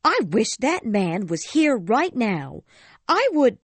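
A woman voicing a harried, sharp-tongued poor mother in a storybook reading, indignant and scornful. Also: medium pitch, big pitch swings, slow, no room sound. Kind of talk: audiobook character voice.

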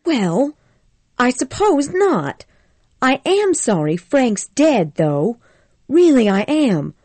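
A woman's voice: sits high, sing-song, slow, a little mellow, no room sound, pitched above the performer's own voice, heavily acted. A woman reading a story aloud, voicing a headstrong young Southern belle who frets and pleads.

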